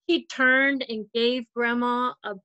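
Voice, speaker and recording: A woman's voice: fairly high pitch, fairly even intonation, slow pace, bright, forceful tone, smooth, no echo, highs cut off. A woman narrating a children's storybook as a volunteer storytime reader.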